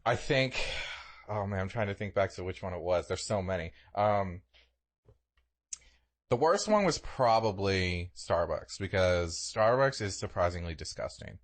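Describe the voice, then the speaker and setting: Young man, lowish voice, very lively intonation, podcast co-host, casual comic storytelling, exaggerating, sweary. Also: a little slow. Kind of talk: casual comedic chat and storytelling.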